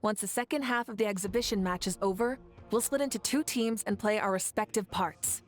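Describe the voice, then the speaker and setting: A confident, noble female ruler of a fantasy game, acted by a woman, speaking at a medium pitch. She is composed and measured, with warm authority, over faint music. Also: lightly acted. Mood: resolute. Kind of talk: video game voice acting.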